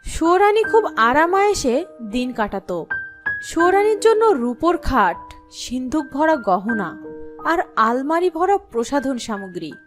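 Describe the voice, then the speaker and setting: Female fairy-tale narrator, scripted storytelling, lively intonation over clear background music. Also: high voice, unhurried.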